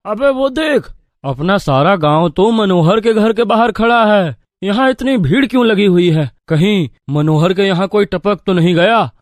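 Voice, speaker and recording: A man voicing plain-spoken, excitable young village men in a moral story. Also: sits high, measured pace, no echo, clean studio sound. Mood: surprised, curious. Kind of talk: animated moral story dialogue.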